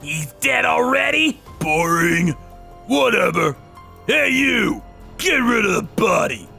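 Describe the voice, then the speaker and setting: A man voicing a cruel young male delinquent thug who sneers and shouts taunts in a manga dub. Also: high voice, very animated, slow pace, vocal fry, faint music behind, heavily acted. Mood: sneering.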